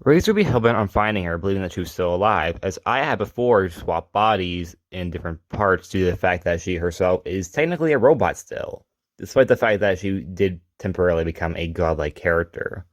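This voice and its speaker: A man's voice: deep voice, expressive, fairly quick, no room sound. A man hosting a retrospective video, reading informal scripted narration. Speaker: host of a character retrospective video series.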